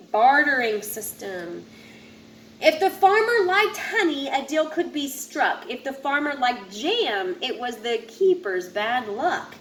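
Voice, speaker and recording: A woman reading a rhyming children's picture book aloud in an expressive, sing-song way, occasionally stumbling over a word. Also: sits high. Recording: roomy echo with faint background noise.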